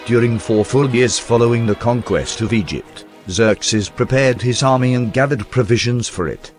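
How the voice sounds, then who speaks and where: A man reading a historical account aloud in a measured, storytelling documentary narration. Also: mid-range voice, soft background music. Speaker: reader of historical text.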